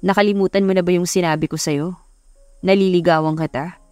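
A woman's voice: fairly low pitch, steady tone, a little fast, dark tone, clean tone, no room sound, soft background music, close to an everyday voice. Woman voicing a teasing, confident young male CEO. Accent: Filipino.

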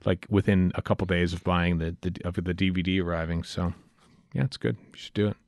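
A man's voice: deep voice, steady tone, fairly quick, a little mellow, no echo. A man with a North American accent, co-hosting a casual fan podcast in a wry, playful way. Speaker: podcast co-host.

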